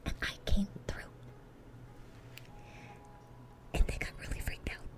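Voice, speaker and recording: A woman's voice: brisk, natural voice. A woman voicing a sweet, dorky demon girl in a whispered ASMR roleplay, rambling and stammering in an eager, awkward way. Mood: confiding, animated.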